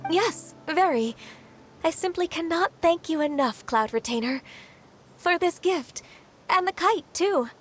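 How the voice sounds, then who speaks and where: A woman voicing a gentle, polite young woman in a game who speaks softly and courteously. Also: very high-pitched, lively intonation, unhurried, faint music behind. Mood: grateful. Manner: soft-spoken, courteous. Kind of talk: game voice acting.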